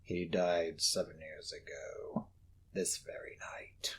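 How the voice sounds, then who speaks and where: A man voicing a miserly, bitter old moneylender in a low, growling, half-whispered voice, in a storybook reading. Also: steady tone, takes it slowly, slight background noise. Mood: curt, grumbling.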